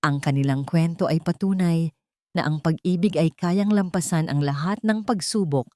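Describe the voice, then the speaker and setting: Low-pitched Filipino woman narrating, close and dry. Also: moderate pace, warm tone, dry recording. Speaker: audiobook narrator. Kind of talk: scripted romantic drama narration.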